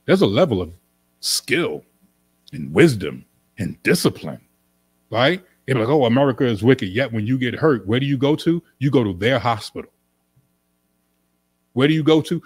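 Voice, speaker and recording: A middle-aged man, a pastor, teaching from the Bible in an emphatic sermon style, repeating his points with animated gestures, recorded in a small indoor studio on a desk stand mic. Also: mid-range voice, slightly rough. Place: small indoor studio room with couch and curtain. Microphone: desk stand microphone.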